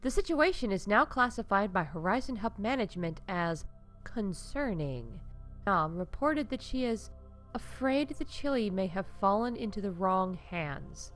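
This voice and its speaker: A woman reading scripted comedic announcements in a playful, mock-official deadpan as the announcer of a news show. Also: mid-range voice, unhurried, soft background music. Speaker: announcer of a fictional theme park news show.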